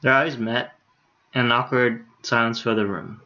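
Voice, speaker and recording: A man's voice: medium pitch, steady tone, moderate pace, pushed and bright. A man with a mid-range voice reads a visual novel aloud as a game streamer. His delivery is casual and unpolished, and the stream audio is a little dull and lo-fi. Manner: casual, stumbles over words, swears in asides.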